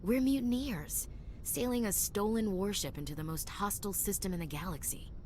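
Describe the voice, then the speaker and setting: A woman's voice: mid-range voice, moderate pace, darkish tone, a little hiss or hum, lightly acted. An actress playing a loyal, frank female soldier in a video game, plain-spoken and direct. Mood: rueful. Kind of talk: video game dialogue acting.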